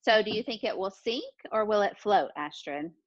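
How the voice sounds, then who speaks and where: A woman's voice: medium pitch, expressive, moderate pace, dry recording. A woman teaches a lesson to young children in an encouraging, questioning manner, often repeating what they answer. The recording is dull and muffled, with very little room sound. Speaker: pre-kindergarten teacher.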